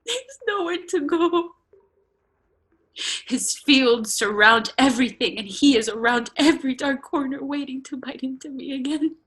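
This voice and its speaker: A woman's voice: sits high, expressive, a bit bright, slightly rough, full character voice. An actress playing a gaunt, haunted young woman, broken and delirious with grief, in a mournful, fragmented dramatic play reading. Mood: anguished. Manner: slow, fragmented, mournful delivery.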